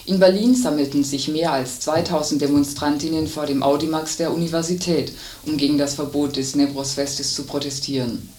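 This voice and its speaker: Roomy, distant news reader on radio. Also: mid-range voice. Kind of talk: scripted radio news reading.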